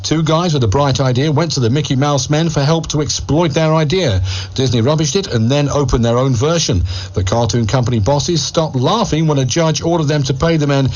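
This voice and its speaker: A man's voice: a little high, a little fast, darkish tone, low-quality recording. A man reading radio news in a punchy tabloid style, with emphatic, clipped headlines. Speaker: radio newsreader.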